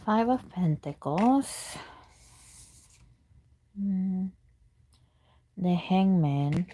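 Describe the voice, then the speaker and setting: Woman, native Spanish speaker, casual tarot reader, low voice, muses aloud while pulling cards. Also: animated, very slow, dark tone, rough recording. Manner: chatty, thinking aloud, murmurs while reading cards. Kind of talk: live tarot reading, answering chat questions.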